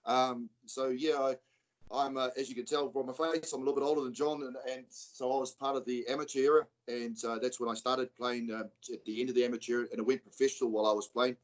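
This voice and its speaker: A man's voice: mid-range voice, quick pace. New Zealand man, easygoing coach. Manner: relaxed, rambling, many fillers. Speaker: rugby coach. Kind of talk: casual video call interview. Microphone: video call.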